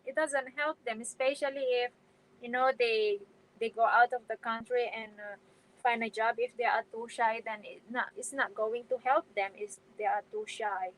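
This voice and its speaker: High-voiced woman live-streaming. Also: steady tone, moderate pace, fairly bright tone, poor audio. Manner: hesitant, frequent fillers and restarts. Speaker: vlogger hosting a live stream. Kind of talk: casual live-stream chat, reading viewer comments.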